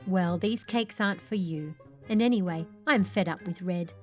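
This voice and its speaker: A woman's voice: lowish voice, moderate pace, natural voice. This is a plucky little girl from a storybook, voiced by a woman narrator. She is polite and cheerful but stands her ground with spirit. Faint music plays underneath. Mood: firm.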